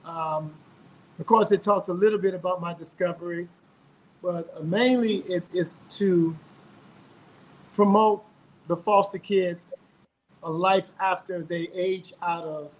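A middle-aged man with a notably high voice talks earnestly over a video call from his home. He often restarts and repeats words as he goes. A faint hum of room ambience sits behind him. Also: steady tone, a little slow, pauses often, rough recording. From South Carolina, US. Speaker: guest, singer. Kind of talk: casual interview.

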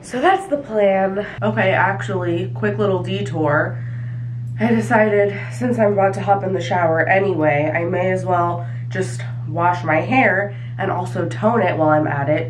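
Young female vlogger, congested and nasal, casual filler-heavy chat, slightly low voice. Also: slight background noise, poor audio. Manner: chatty, lots of fillers. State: stuffy nose from allergies. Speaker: vlogger and hairstylist. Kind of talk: casual talk to camera. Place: small apartment, kitchen and bathroom. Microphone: handheld camera at arm's length or tripod.

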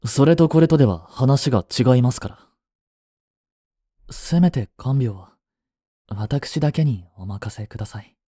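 Man playing a mellow, possessive young butler. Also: mid-range voice, expressive, measured pace, long pauses, husky, dry recording, studio quality. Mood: apologetic, sulky. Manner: formal, polite to his mistress, curt to rivals. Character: cool young male butler, devoted, jealous, possessive. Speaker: voice actor. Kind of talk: Japanese romantic audio drama acting.

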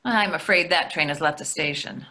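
A middle-aged woman playing a brisk, wry wife and mother in a play reading, dry and teasing over a video call. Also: sits low, bright, forceful tone. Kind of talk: readers theatre play reading. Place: indoors at home, on a video call.

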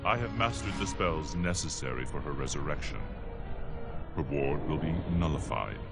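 A man playing a cunning, obsequious sorcerer who speaks deliberately in a dramatic game style. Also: extremely low pitch, lively intonation, vocal fry, full character voice. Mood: scheming, deferential. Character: cunning male sorcerer, smooth-tongued schemer serving an emperor. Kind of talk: dramatic video game acting. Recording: loud music behind the voice.

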